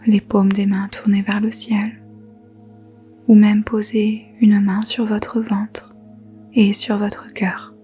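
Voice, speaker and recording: A woman teaching yoga nidra, speaking softly in a whispery voice and giving slow, gentle meditation instructions with long pauses. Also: mid-range voice, flat delivery, faint music behind. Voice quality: soft whispery voice. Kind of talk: guided meditation.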